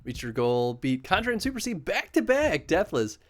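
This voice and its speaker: A man streaming a horror game live, chatting casually and yelling excitedly at the action. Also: fairly high pitch, normal speed. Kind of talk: live gameplay commentary.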